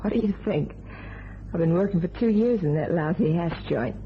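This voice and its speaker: Actress as weary diner waitress and widow, low female voice, clipped blunt talk, muffled radio drama with heavy hiss. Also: normal speed, close to an everyday voice. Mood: bitter, defiant. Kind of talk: old-time crime radio play acting. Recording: dull, noisy old radio recording.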